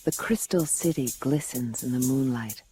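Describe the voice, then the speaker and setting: Low-voiced lady space gumshoe. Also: normal speed, dark tone, poor audio. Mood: brooding. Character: cool intergalactic female gumshoe, narrating her case. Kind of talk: first-person detective radio drama narration. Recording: music bed behind the voice.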